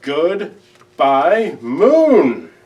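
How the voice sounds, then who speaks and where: Man voicing a sorrowful, gentle storybook owl, distant mic. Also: fairly high pitch, huge pitch swings, dull audio, voice pushed up, heavily acted. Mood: calling out. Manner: emotive, drawn-out, earnest delivery. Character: sorrowful, gentle, simple-hearted male owl. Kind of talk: children's storybook character voice.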